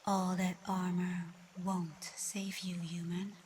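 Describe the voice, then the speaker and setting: Woman voicing a slow, haughty, reclusive dragoness. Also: lowish voice, flat delivery, darkish tone, a little hiss or hum. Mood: mocking, haughty. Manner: haughty, deliberate, mocking. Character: proud, reclusive female dragon, menacing yet curious. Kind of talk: ASMR roleplay acting.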